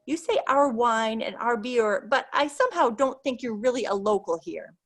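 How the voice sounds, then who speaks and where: Woman reading priest in his thirties, measured, discreet, quiet, storybook reading. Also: fairly high pitch, animated.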